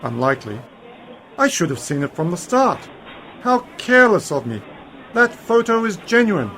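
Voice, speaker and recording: A man playing an eccentric, knowing uncle and adventurer in an amateur science-fiction radio play, brisk and dismissive. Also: sits high, big pitch swings, sharp tone, full character voice. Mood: brisk, insistent. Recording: faintly noisy home-made radio play recording.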